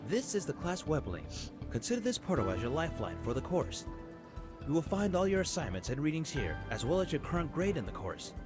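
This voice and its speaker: A man's voice: on the high side, big pitch swings, fairly quick, over music. A man voicing a composed, courteous male professor who lectures a class formally in a visual novel game.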